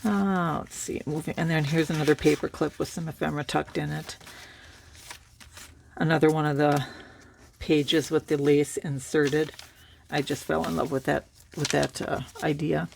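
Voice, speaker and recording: A woman with a cold and a raspy voice, casually showing a handmade journal and rambling through it with fillers. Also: low voice, normal speed, a little hiss or hum. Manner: relaxed, rambling, with many fillers and restarts. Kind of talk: casual show-and-tell.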